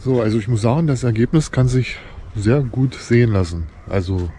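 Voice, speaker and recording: Guy in his 40s doing a casual diy vlog outside, mid pitch, clear background noise. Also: fairly quick. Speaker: camper van DIY vlogger. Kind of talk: casual talk to camera. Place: outdoors in a grassy garden.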